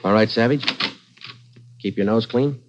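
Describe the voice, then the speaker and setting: An actor playing a police detective sergeant who narrates in a clipped, flat, matter-of-fact way, in 1940s radio drama. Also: male voice, medium pitch, unhurried, background noise, close to an everyday voice. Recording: dull old radio recording with music.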